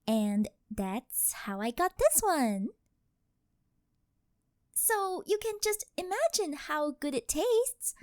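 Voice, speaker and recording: Rich yandere girlfriend, played by a woman: high, swooping, unhurried delivery, sugary and possessive, for ASMR roleplay. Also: a little mellow, dry recording, clean studio sound, exaggerated voice. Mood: coaxing, proud. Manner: coaxing, sugary, drawn-out pet-name delivery.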